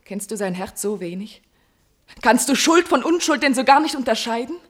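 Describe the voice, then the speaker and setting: An actress playing a noble, courageous young princess in love, declaiming impassioned verse in a classical German radio tragedy. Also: female voice, a little high, expressive, normal speed, fairly bright tone. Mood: bold reproach.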